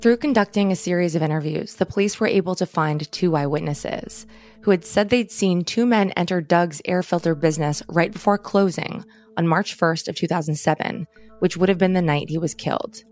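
A woman's voice: on the low side, normal speed, a little mellow, faint music behind. A woman co-hosting a true crime podcast, narrating the case and dropping casual asides. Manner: conversational, adds casual asides. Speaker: podcast co-host.

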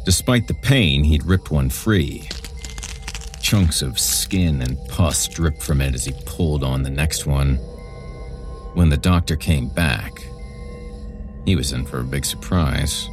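A man narrating a scripted horror story in a dramatic, deliberate storytelling manner. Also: rumbling low, music in the background.

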